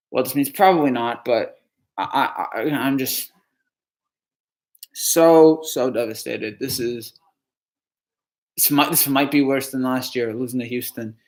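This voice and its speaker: A man from New Jersey on a live stream, answering chat questions in a halting, dejected way, with frequent stammered restarts and sighs. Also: fairly high pitch, fairly bright tone.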